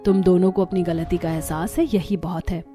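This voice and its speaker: A woman voicing a calm, wise elder sister-in-law in a cartoon, soothing and measured. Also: lowish voice, faint music behind, voice pushed down, close to an everyday voice.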